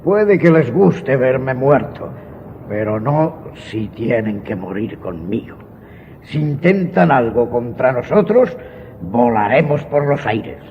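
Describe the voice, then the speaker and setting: An actor playing a proud, resolute vizier father facing death, measured and solemn, in a radio drama. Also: mid-range voice, big pitch swings. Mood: calm resolve. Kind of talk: Spanish radio drama acting. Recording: dull lo-fi audio with faint noise.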